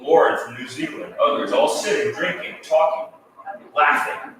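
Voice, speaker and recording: A man's voice: a little high, moderate pace. Tamil-speaking artist man, echoey and distant. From India. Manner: emphatic, repeats key points. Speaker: artist interviewee. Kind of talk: casual spoken interview. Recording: echoey room, distant mic, faint hiss.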